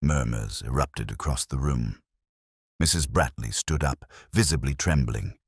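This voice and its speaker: A man's voice: a bass voice, unhurried, breathy edge, dry recording, studio quality. A man reading a scripted mystery story as its narrator. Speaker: audiobook-style narrator.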